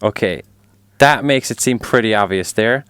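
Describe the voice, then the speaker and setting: A man's voice: fairly low pitch, moderate pace, heavy background noise. A man co-hosting a podcast, giving blunt opinions in casual chat and often trailing off into mumbles. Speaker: podcast co-host.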